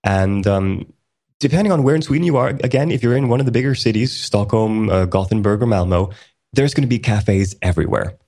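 A man co-hosting a casual podcast, explaining at length in a relaxed way with many ums and restarts. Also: lowish voice, brisk. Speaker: podcast co-host.

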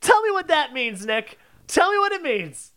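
A man's voice: very high-pitched, sing-song, moderate pace, sharp tone. A man co-hosting a podcast, arguing insistently in a casual, comedic debate. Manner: insistent, argumentative. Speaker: podcast co-host.

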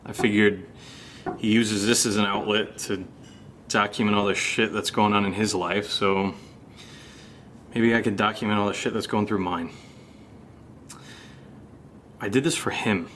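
A man's voice: lowish voice, fairly even intonation, unhurried, pauses often, fairly bright tone, a little hiss or hum. A man acting an anxious young American who plays the rational friend, in a naturalistic horror audio drama. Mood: uneasy, confiding. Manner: casual, hesitant, sweary.

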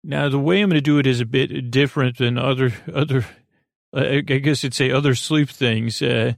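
A man with a creaky, gravelly voice hosting a sleep podcast in a meandering, halting, goofy monologue that keeps interrupting itself. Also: medium pitch, fairly even intonation, dry recording, studio quality. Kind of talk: rambling bedtime monologue.

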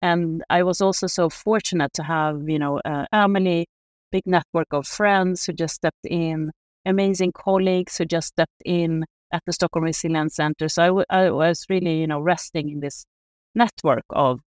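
A middle-aged woman from Sweden speaks in a soft, measured voice with plenty of hesitations. She is a researcher being interviewed. The sound is dry and close, with no room around her. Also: fairly low pitch, steady tone, no room sound. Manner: soft-spoken, measured, many fillers. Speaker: guest, sustainability researcher. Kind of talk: reflective interview answers.

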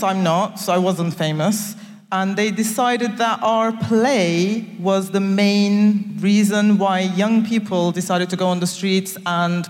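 A young adult woman from Turkey and Nigeria, a guest on a live stage talk, speaking earnestly and playfully with slightly phrasing. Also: medium pitch. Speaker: guest designer. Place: concert hall stage. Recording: long reverb of a live concert hall.

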